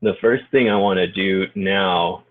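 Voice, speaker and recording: Low, monotone man on a phone-like line. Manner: informal, halting phrasing. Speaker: guided meditation leader and healer. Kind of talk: unscripted guided group meditation. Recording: muffled phone-like narrow-band audio.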